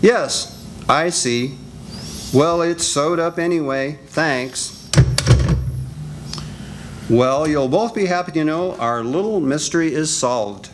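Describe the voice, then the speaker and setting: An older man in a senior center radio drama reading, playing a calm, businesslike detective. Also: on the high side, slight background noise. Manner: measured, businesslike. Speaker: amateur radio actor.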